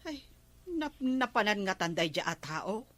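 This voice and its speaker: An inquisitive village wife with an Ilocano accent, played by a woman, suspicious in a comedy drama. Her medium-pitched voice is bright and forceful, in a dry recording. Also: sing-song, a little slow, no room sound. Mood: grumbling. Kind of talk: comedy radio-style drama acting.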